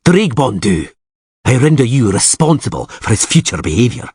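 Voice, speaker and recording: A grim, calm lord, played by a man, speaks with measured, scathing control. His husky voice sounds close and dry. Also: mid-range voice, no room sound.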